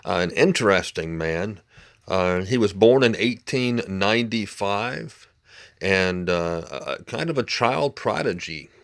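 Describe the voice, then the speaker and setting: A man with a low-pitched voice presents like a collector showing off his equipment. His delivery is informal and unscripted, explanatory in tone, with halting phrases as he works through each point. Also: a bit bright. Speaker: vintage radio collector and presenter. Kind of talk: informal demonstration talk to camera.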